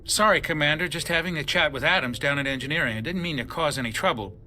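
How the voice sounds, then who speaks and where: An actor playing an earnest, uneasy ship's officer in a video game, respectful but questioning and suspicious. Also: male voice, fairly high pitch, fast, pushed and bright, a little hiss or hum.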